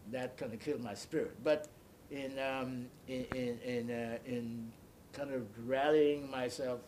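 Elderly man, mid-pitched voice, halting reminiscence, explains as he goes, faint rumbling noise. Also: steady tone, a little mellow. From Bahamas. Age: 80s or older. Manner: deliberate, halting storyteller, repeats and explains. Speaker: interviewee. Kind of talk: oral history recollection.

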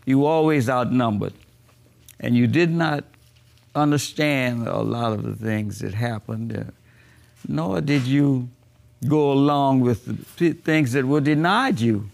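Slow, gravelly elderly man reminiscing. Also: mid-range voice. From Bahamas. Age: 80s. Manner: slow, reflective storytelling. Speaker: interviewee. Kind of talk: oral history interview.

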